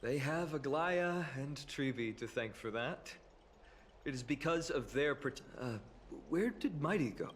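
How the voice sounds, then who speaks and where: A man's voice: medium pitch, expressive, measured pace, a little hiss or hum, close to an everyday voice. A man voicing an earnest, humble young hero in a fantasy video game, speaking sincerely and with resolve. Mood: relieved, friendly.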